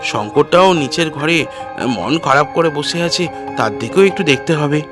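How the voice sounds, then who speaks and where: A man's voice: a little high, expressive, normal speed, music in the background, lightly acted. A man voicing an earnest, discontented young nephew in his twenties in an audio story, with a firm, protesting manner. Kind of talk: audio story character dialogue.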